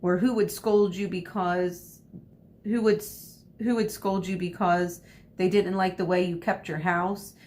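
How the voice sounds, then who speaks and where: A woman teacher reading a storybook aloud expressively and deliberately, pausing to explain to her listeners. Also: fairly even intonation, darkish tone. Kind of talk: storybook read-aloud with short asides. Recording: noisy with low rumble.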